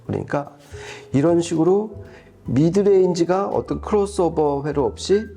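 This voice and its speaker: A man with a mellow, relaxed voice talks through a product review. He explains things step by step and often rephrases himself. Faint music plays behind him. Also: medium pitch. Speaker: audio reviewer and co-host.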